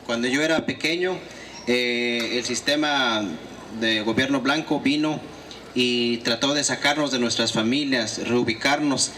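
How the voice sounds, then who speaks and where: A man giving a fluent, steady consecutive interpretation at a public gathering. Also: medium pitch, measured pace, slight background noise. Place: outdoor gathering.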